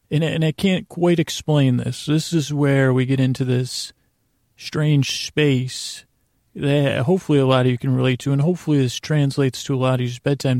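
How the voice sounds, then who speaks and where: An American man with a creaky, gravelly voice hosting a podcast, telling a meandering bedtime monologue that keeps interrupting itself. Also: medium pitch, a little mellow, no echo, clean studio sound. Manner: meandering, halting, self-interrupting, digressive. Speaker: podcast host.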